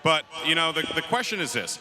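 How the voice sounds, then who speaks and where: A man interviewing a player on stage before a match, excitable and hyping things up. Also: fairly high pitch, a little fast. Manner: excitable, laughing hype. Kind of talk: hyped pre-match interview.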